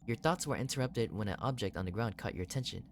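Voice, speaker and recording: A man's voice: fairly low pitch, quick pace, a little hiss or hum. A man hosting a gaming video, reading visual novel narration aloud and reacting to it expressively.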